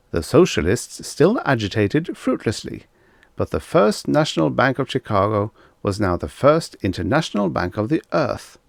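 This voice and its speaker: Man playing a pompous professor, animated and creaky. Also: mid-range voice, no room sound, studio quality. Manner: didactic, declamatory, deliberate emphasis on figures. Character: pompous lecturing male professor of the future. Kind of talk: classroom lecture in an audiobook story.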